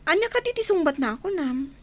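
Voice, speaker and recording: A woman's voice: sits high, expressive, quick pace. A woman playing a friendly young volunteer teacher in an Ilocano radio drama. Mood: concerned.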